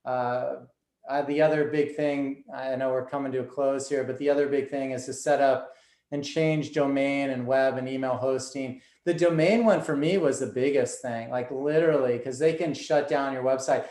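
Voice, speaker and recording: A man's voice: medium pitch, fairly even intonation, normal speed, darkish tone, roomy sound. A man as a podcast guest, talking earnestly and loosely, with lots of fillers. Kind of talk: casual conversation.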